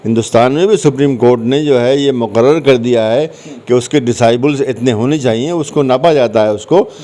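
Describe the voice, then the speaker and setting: Man, mid-pitched voice, conversational interview guest, explanatory, repeats phrases for emphasis. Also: brisk, mellow.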